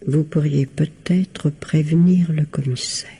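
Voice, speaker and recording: A woman's voice: low-pitched, fairly even intonation, a little slow, mellow, slightly rough, heavily acted. An actress playing a scheming, falsely kind middle-aged lady in a vintage radio mystery, poised and bossy with an insinuating, motherly manner. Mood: cool, secretive.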